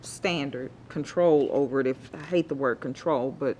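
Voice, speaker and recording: A woman's voice: rumbling low, normal speed, a little hiss or hum. A woman on a planning commission, talking informally and with feeling about a motion. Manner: animated, informal, uses y'all. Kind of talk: public meeting discussion. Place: city council chamber.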